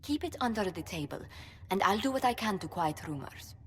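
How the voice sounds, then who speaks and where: A woman's voice: mid-range voice, lively intonation, a little fast, slight background noise, natural voice. A woman playing a tactful, practical diplomat in a video game, poised and formal. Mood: composed concern. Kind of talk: video game cutscene acting. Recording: long hall reverb.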